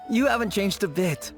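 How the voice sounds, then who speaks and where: A man's voice: sits high, heavily acted. An actor playing an earnest, kindly man in a video game, speaking politely and sincerely. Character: earnest, kindly man reminiscing about a childhood friend. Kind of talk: animated video game dialogue. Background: music.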